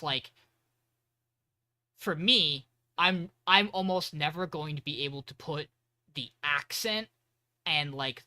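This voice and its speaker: A man chatting as a podcast co-host, his voice bright and forward. He talks his points through slowly, hedging with plenty of "um". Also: fairly high pitch. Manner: thinks aloud with frequent "um" and hedging. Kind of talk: casual chat reviewing anime.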